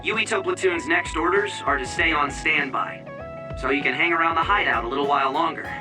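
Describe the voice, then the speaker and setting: An obliging aide from a video game, voiced by a man. His voice is on the high side, with a casual, helpful delivery over prominent music. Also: brisk, lightly acted. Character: obliging male informant aide bringing news and orders.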